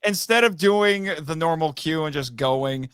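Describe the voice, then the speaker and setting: A man talking casually as a podcast guest, telling anecdotes in an animated way and often chuckling as he goes. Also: fairly high pitch, a little slow, a little hiss or hum. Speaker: podcast guest, voice actor and writer.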